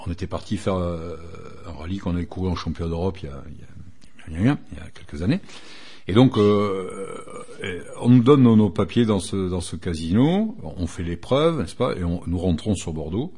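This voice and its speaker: An older man recounts how his rally club began, wandering through the story with plenty of hesitations. His voice is very low, dark and a little husky. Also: expressive, normal speed. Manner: rambling anecdotes with many fillers and restarts. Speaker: guest, rally club founder. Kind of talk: storytelling in a radio interview.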